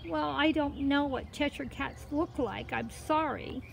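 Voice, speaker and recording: A woman's voice: high-pitched, a little slow, creaky, voice pushed up, full character voice, low-quality recording. A woman voicing a timid, polite little girl in a children's story, hesitant and earnest. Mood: apologetic, timid. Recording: outdoor ambient noise, faint.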